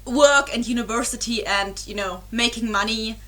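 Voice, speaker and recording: Young woman, slightly high, crisp bright voice; rambling, giggly webcam chat. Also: normal speed. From Germany. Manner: rambling and apologetic, many fillers and giggles. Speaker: reaction YouTuber. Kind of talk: casual chat to camera.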